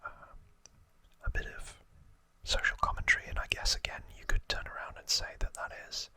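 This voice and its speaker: A man's voice: fairly quick, bright, forceful tone, dry recording. A man hosting an ASMR channel, whispering an informal trivia recap with hesitant, musing asides.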